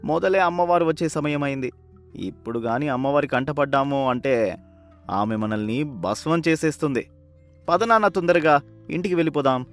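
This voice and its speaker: A man's voice: on the high side, moderate pace, faint music behind, natural voice. A man voicing the fearful son of a village chief in a moral story, anxious and urgent. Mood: frightened. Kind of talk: animated moral story character acting.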